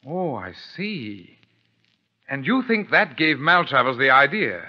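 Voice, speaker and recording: An old, dull-sounding radio drama recording. a well-meaning but slow gentleman assistant. He speaks hesitantly and with bafflement, his pitch moving up and down freely. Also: big pitch swings. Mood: astonished. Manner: hesitant, puzzled. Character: earnest, slow-witted gentleman sidekick to a detective.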